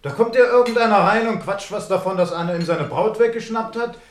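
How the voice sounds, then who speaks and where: Man acting a scornful, high-pitched pub drinker. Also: a little fast, lightly acted. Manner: scornful, brusque. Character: dismissive, irritable pub drinker minding his own business. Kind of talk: German radio play acting. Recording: roomy, echoing radio studio.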